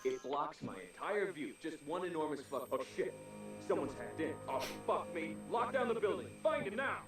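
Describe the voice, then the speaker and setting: A male actor playing an angry, alarmed boss in a video game, exasperated and forceful. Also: a little high, expressive, normal speed. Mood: alarmed, commanding. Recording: echoey, distant game audio under music.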